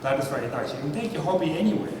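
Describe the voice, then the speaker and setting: A man in his fifties gives a relaxed, self-deprecating talk before a live audience and keeps asking the crowd questions. His voice booms around a large, echoing hall and is picked up from some distance. A clear layer of noise sits under the speech. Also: rapid-fire. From Netherlands. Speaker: speaker at a science talk night. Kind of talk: casual public talk with audience banter. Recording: echoey hall, mic from afar, noisy.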